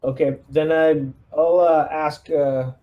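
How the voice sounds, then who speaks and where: A man's voice: a little high, steady tone, slow, lo-fi sound. A man chatting casually as a player in a tabletop roleplaying game.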